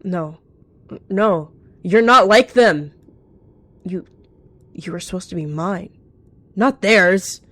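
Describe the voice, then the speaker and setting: A woman's voice: fairly low pitch, takes it slowly, pauses often, a bit bright, dry recording. A woman voicing an evil rogue cat, intense, possessive and scornful, in a roleplay drama over music. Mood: desperate, possessive.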